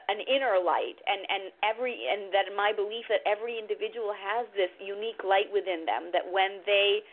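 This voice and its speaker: A woman's voice: medium pitch, steady tone, fairly quick. A woman coach, a native Tamil speaker, talking earnestly and reflectively as a guest on a live radio show, often restarting her sentences. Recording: phone line.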